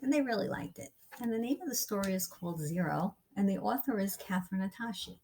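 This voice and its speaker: A woman reading a picture book aloud as a storyteller, with expressive, deliberate and dramatic phrasing for young listeners. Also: medium pitch, slight background noise. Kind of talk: expressive children's read-aloud narration.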